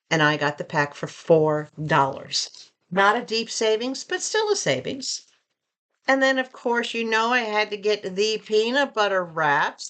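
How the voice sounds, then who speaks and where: A woman vlogger chatting casually through an unboxing, reading labels aloud and asking viewers questions, a bit hoarse from losing her voice. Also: mid-range voice, a bit bright. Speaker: vlogger reviewing purchases.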